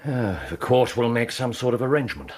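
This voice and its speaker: An actor in a British radio drama playing a stern gentleman detective, precise, formal and probing, with a British accent and husky voice. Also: mid-range voice, big pitch swings, fairly quick. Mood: grave, measured. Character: stern, incisive gentleman detective, coolly deductive.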